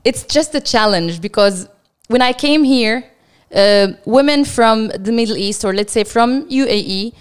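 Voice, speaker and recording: A woman from Morocco, a journalist and TV presenter whose first language is Arabic, telling her story as an interview guest with lively acted-out quotes. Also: a little high, unhurried, clean tone. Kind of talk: casual interview chat.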